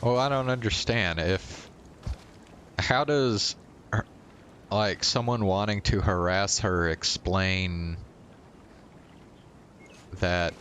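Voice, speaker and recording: A man giving casual livestream commentary on a game, musing aloud in a halting way. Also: low voice, a bit bright, a little hiss or hum. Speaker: game streamer.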